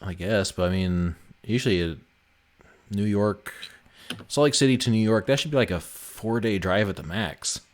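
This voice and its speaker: A man with a fairly low voice hosts a live game development stream. He talks casually with his co-hosts and drops swear words as he goes. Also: normal speed. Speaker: game developer hosting a livestream. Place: indoor voice chat on a development livestream.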